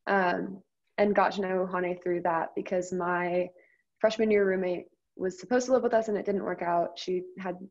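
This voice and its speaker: A woman's voice: fairly low pitch, fairly even intonation, moderate pace, a little mellow. A 23-year-old American woman from the Midwest, telling a story casually as a guest. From Iowa and Ohio, United States. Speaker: interview guest. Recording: dull lo-fi audio.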